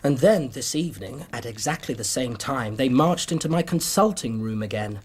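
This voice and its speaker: Earnest, husky British Victorian doctor. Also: male voice, medium pitch, lively intonation, moderate pace. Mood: troubled, recounting. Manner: formal, conciliatory, precise. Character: earnest, anxious, well-mannered Victorian male doctor. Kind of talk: period radio drama acting.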